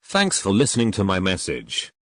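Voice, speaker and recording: A man's voice: sits low, huge pitch swings, normal speed, a bit bright, no room sound, exaggerated voice. A man playing an earnest eyewitness who gives a stiff, methodical recorded statement from a script.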